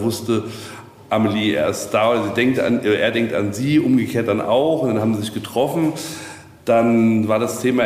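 A man's voice: lowish voice, darkish tone. A man guiding visitors informally, explaining stories in a loose way with many asides, recorded in a very reverberant space. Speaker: castle tour guide. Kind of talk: informal guided tour storytelling. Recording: very echoey, reverberant, noticeable background noise.

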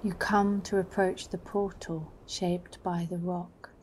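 A woman narrating a poetic, meditative guided journey in a hushed, solemn, unhurried manner. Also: on the low side, level tone, slight background noise.

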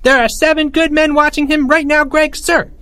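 High-pitched dutiful little boy soldier. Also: steady tone, normal speed, bright, forceful tone, no echo, studio quality. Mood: eager, dutiful. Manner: deferential, military-style answering. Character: small eager boy, dutiful third-grade army leader.

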